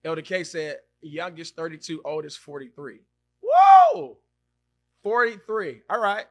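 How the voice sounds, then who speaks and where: A man in his thirties hosting a podcast, chatting casually and reacting loudly as he reads out viewer comments. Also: sits high, a little slow. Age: 30s. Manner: animated, exclaims and reacts loudly, repeats himself. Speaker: podcast host. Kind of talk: casual live-stream chat reading comments.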